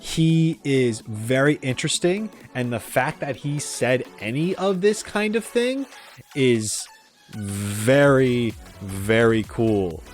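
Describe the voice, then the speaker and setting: A man hosting a gaming reaction video, giving casual, informal and expressive commentary. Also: medium pitch, slow. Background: music under the voice.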